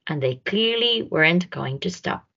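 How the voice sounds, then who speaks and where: A woman reads a children's story at a slow pace with very lively intonation. She leans on words for young listeners, and her lowish voice has a husky edge. The recording is dull and lo-fi. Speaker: storyteller reading a picture book. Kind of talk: expressive children's read-aloud narration.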